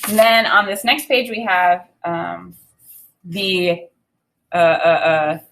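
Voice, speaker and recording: A woman in her thirties reading a children's book aloud as a teacher, expressive and deliberate, pausing to think aloud. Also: mid-range voice. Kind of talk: read-aloud lesson with think-aloud commentary. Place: small indoor room with desk and bookshelf.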